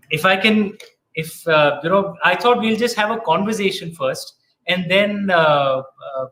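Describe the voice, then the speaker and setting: A man's voice: a little high, sharp tone, poor audio. A man hosting an interview, asking questions politely with many hesitations and restarts. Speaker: interviewer, host.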